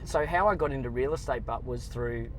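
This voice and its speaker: A man's voice: medium pitch, a little fast, a little hiss or hum, rough recording. A man, chatting casually as a guest in a car and telling stories with lots of "you know" and "like". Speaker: guest, real estate agent. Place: inside a car. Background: music.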